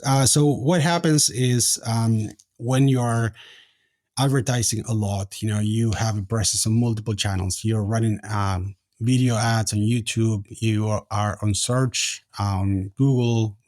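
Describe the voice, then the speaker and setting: A man's voice: fairly low pitch, a little mellow, no echo, studio quality. A man in his forties from Argentina, answering interview questions in a hesitant way with many fillers and restarts. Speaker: podcast guest, company founder.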